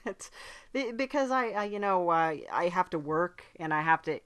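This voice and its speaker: A woman hosting a podcast in casual chat, with a dry, playful deadpan humour. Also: lowish voice, a little slow, smooth. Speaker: podcast host.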